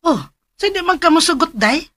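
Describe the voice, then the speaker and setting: An actress playing a fretful, homely aunt in a Philippine radio drama, with a Cebuano Filipino accent. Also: female voice, very high-pitched, animated, a little fast, fairly bright tone. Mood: doubtful. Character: fretful aunt helping search, homely older relative.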